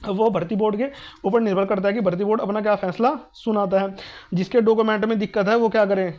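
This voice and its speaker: A North Indian man talks quickly in a high voice that stays on a narrow, even pitch. He explains things informally to students, repeating himself and reassuring them as an online exam-advice host would. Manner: repetitive, reassuring, asks and answers own questions.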